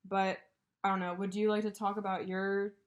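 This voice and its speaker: A young woman sounding stuffed up and congested, talking in a loose, casual way. She is blunt and teasing and swears freely. Her pitch sits in the middle for a woman. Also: flat delivery, moderate pace. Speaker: podcast co-host. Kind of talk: casual chat.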